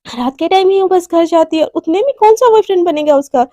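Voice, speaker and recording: A woman's voice: extremely high pitch, lively intonation, fairly quick, dark tone, no echo, clean studio sound. A woman voicing a nosy, suspicious young coworker who asks pointed questions. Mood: prying.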